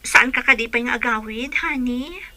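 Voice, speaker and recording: A woman's voice: on the high side, moderate pace, sharp tone. A woman playing a lovelorn, determined young bride in a radio drama, earnest and pleading. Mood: anxious, disappointed. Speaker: audio drama actor.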